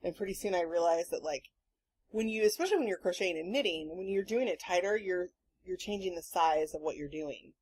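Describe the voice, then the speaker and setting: A woman hosting a podcast in casual chat, telling rambling stories in an animated, sweary way. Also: on the low side, fairly quick, husky. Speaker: podcast host.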